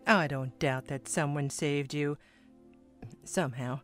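A woman's voice: deep voice, fairly even intonation, takes it slowly, soft background music. A woman playing a composed, skeptical, motherly royal attendant in an audio drama, speaking formally.

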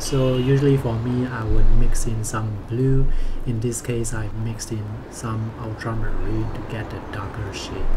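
A man calmly explaining his sketching and watercolor process in a voice-over. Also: mid-range voice. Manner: calm, explanatory, unhurried. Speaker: artist narrating his sketching video. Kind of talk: voice-over commentary on painting. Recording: audible background noise.

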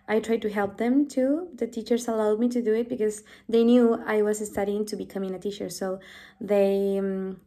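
A woman's voice: medium pitch, creaky, dark tone. A woman in her twenties from Chile with a Chilean Spanish accent, a native Spanish speaker and English teacher, introducing herself to camera in a small room at home, earnest and friendly, restarting her phrases often. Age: 20s. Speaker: English teacher applying for volunteering. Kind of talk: self-introduction video to camera. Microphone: device camera at close range.

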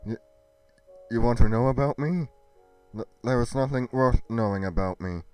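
A man's voice: medium pitch, normal speed, a little mellow, clean tone, soft background music, lightly acted. A man voicing a guarded, caring young woman with a hesitant stammer in an amateur scripted roleplay.

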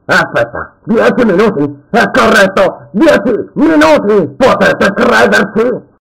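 A man voicing a swaggering animatronic pirate fox for a children's show, raspy, boisterous and theatrical. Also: sits high, sing-song, slow pace, fairly bright tone, full character voice, low-quality recording. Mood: swaggering, loud. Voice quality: raspy, gravelly. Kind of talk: children's show mascot performance, fan dub.

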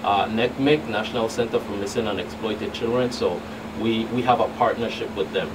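A middle-aged man, a law enforcement agent, answering as a guest expert on a radio talk show, haltingly and often repeating words. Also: lowish voice. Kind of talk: explanatory radio interview answers. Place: small radio studio. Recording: noisy, distant mic with room hum.

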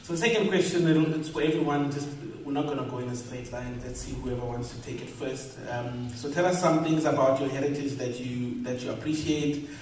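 A man's voice: mid-range voice, fairly quick, hardly any pauses, darkish tone. A man moderating a church panel discussion, addressing the congregation and posing questions in a friendly way. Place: church hall. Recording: roomy distant mic with faint noise.

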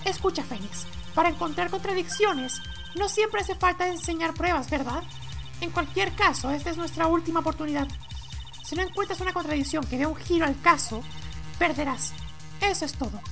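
A man voicing a calm, firm female mentor lawyer in a courtroom game, measured and instructive. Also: extremely high pitch, soft background music, voice pushed up, exaggerated voice.

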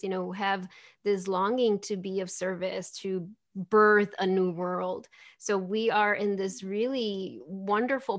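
A woman in her forties whose first language is Spanish, talking earnestly and reflectively as a guest on a video call from home. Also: medium pitch. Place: home room with plants and window. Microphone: video call webcam.

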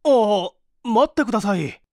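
A man's voice: high voice, lively intonation, normal speed, mellow, no echo, clean studio sound, voice pushed up. A man voicing a weary, courteous, flustered young man in a dramatized audiobook, speaking politely and hesitantly. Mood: urgent.

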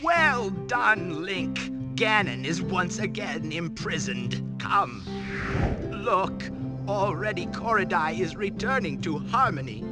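A man's voice: sits high, big pitch swings, slow, loud music behind the voice, heavily acted. A man playing a wise old wizard guide, theatrical and declamatory, in a cartoon game cutscene. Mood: solemn, theatrical.

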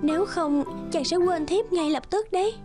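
A woman's voice: very high voice, normal speed, over music. A woman voicing a sweet, meek, kind-hearted young girl in a cartoon, polite and plaintive. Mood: tender.

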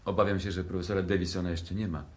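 A man's voice: deep voice, little pitch movement, very fast, lightly acted. A man in a 1960s radio play acting a polite, formal servant who obligingly offers drinks. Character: polite, deferential male host serving drinks.

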